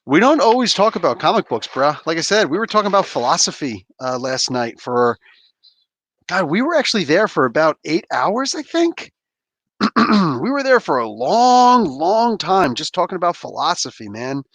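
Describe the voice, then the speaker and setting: A man's voice: on the high side, very animated, moderate pace, a bit bright, no echo. A man in his thirties from New Jersey, hosting a casual livestream, rambling and slangy as he reads chat and opens toys. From New Jersey, USA. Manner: laid-back, rambling, laughs often, slangy. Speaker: livestream host.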